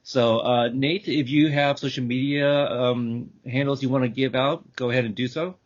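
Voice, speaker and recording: Male podcast host, halting, lo-fi sound. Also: mid-range voice, steady tone. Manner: halting, rambling. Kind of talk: casual, unscripted show wrap-up. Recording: dull, lo-fi call audio.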